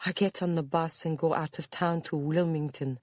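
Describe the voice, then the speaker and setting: A woman narrator plays a woman in her late forties with a Spanish accent. She is frightened and full of remorse, speaking halting, broken English with earnest emphasis. The audiobook recording is close and dry. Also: low-pitched, steady tone, darkish tone, no echo, pitched above the performer's own voice, natural voice. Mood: remorseful, distressed.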